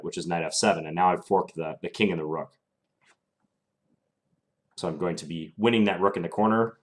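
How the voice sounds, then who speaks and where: A young man streaming live, commentating his chess games and answering viewer questions in a relaxed, thinking-aloud way, his voice slightly strained. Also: low-pitched.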